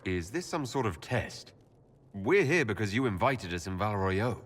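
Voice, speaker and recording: A wary young envoy in a fantasy game. His voice is low and lively in pitch, firm yet diplomatic, and it echoes with long hall reverb. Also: normal speed. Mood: puzzled.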